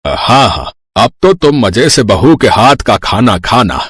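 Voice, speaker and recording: A man voicing a grumbling middle-aged father-in-law in a cartoon family story, with a husky voice. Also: mid-range voice, big pitch swings, a little slow, a bit bright, dry recording, exaggerated voice. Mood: firm. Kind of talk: Hindi cartoon story dialogue.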